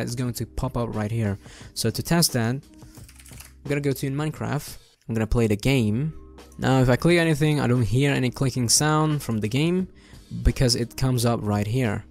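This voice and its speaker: A young adult man hosting an informal software tutorial, explaining things step by step in a casual way. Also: medium pitch. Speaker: tutorial host. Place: indoor room at a desk.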